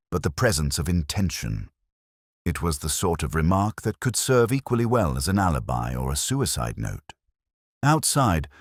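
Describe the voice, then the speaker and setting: A man with a strikingly deep voice narrates a first-person detective tale. His delivery is measured and melancholy, with a literary turn and lively melodic movement. The sound is dry, with no room around it. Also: no echo.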